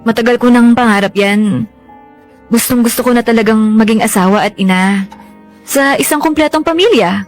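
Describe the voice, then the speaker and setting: An actress with a Filipino accent playing a frank, teasing young woman in a radio drama, coy and gently reassuring. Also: on the high side, moderate pace, long pauses, soft background music, natural voice. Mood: earnest, wistful. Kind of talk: Tagalog radio drama acting.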